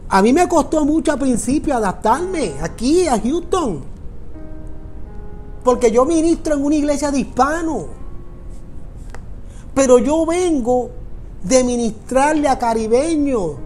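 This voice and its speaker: A man's voice: very high-pitched, lively intonation, a little slow, pauses often, a little mellow, soft background music. A man with a Puerto Rican Spanish accent, a pastor preaching a sermon in an earnest, repetitive way that speaks directly to listeners. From Puerto Rico. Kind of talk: sermon on prayer.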